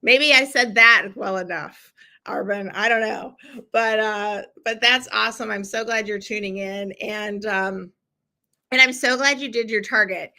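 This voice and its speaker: A middle-aged woman hosting a live-stream challenge, chatting warmly with viewers and encouraging them, with lots of ums and restarts. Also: medium pitch, unhurried. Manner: chatty and encouraging, with many fillers. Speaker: podcast host running a live challenge. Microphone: streaming from a computer.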